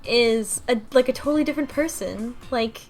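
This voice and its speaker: A woman on a podcast panel casually discussing a game's story, halting and restating as she reasons aloud. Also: on the high side. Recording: music under the voice. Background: music.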